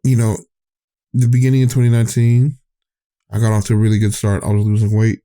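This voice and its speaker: A man's voice: fairly low pitch, monotone, fast, warm tone. A man hosting his own podcast, talking in a candid, rambling way, often trailing off and restarting, his voice getting tired. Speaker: podcast host. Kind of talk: casual rambling monologue.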